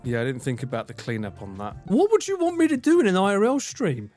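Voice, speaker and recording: A young man hosting a live stream, cracking crude jokes and talking to his chat between bursts of laughter. His voice sits a little higher than most men's, with faint music playing underneath. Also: wildly swooping pitch, quick pace. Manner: sweary, jokey, talks to chat and laughs often. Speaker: livestreamer.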